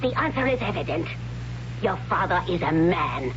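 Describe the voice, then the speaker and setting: A woman playing a stern, dogmatic high priestess in a 1970s radio drama, formal and incantatory, on a muffled old broadcast. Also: sing-song, normal speed, noisy background. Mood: stern, imperious.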